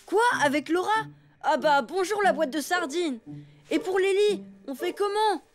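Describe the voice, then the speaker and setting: A woman's voice: very high-pitched, normal speed. A woman voicing a grumbling, sporty young elephant boy in a French cartoon. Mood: indignant.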